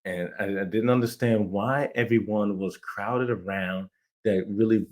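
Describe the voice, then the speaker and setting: A man's voice: fairly low pitch, fairly even intonation, measured pace, darkish tone. A middle-aged man from Jamaica, raised in New York, telling stories as a guest in a casual interview, enthusiastic and rambling. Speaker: playwright, guest.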